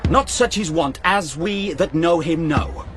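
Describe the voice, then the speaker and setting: An actor playing a sceptical knight, declaiming archaic verse in radio drama style. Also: male voice, fairly high pitch, lively intonation, unhurried, heavy background noise. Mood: dismissive. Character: sceptical knight, kinsman of a famed champion.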